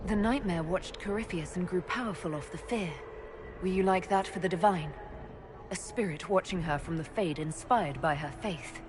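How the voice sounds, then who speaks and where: A woman's voice: on the low side, normal speed, background noise, lightly acted. A woman acting an earnest, resolute young mage heroine in a fantasy video game. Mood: searching. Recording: prominent music behind the voice.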